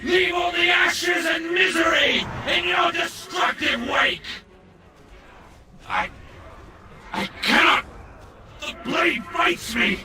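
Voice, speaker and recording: A man's voice: extremely high pitch, slow, pauses often, pushed and bright, shouting, heavily acted. A man playing an anguished, defiant undead death knight commander with a theatrical, commanding manner. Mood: commanding, struggling. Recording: echoing, distant, faint music behind.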